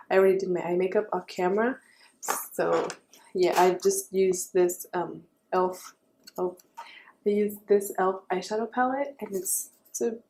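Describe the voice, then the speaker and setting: A young woman with a raspy voice giving a chatty makeup tutorial, correcting herself and offering friendly advice. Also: medium pitch, mellow. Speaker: beauty vlogger.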